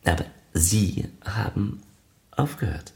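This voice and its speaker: An actor playing an earnest, self-important male culture official in a radio play, halting and eager to please. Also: sits low, creaky, heavily acted. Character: earnest, hesitant arts foundation official and hobby painter, male. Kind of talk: German radio play acting.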